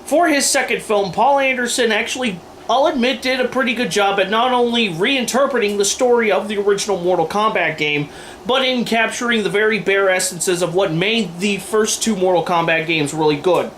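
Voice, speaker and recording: A man with a notably high voice hosts an opinion video. He delivers scripted commentary with sarcasm and firm emphasis. There is faint room noise behind him. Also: a little fast, a bit bright. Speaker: video host. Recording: noisy room recording.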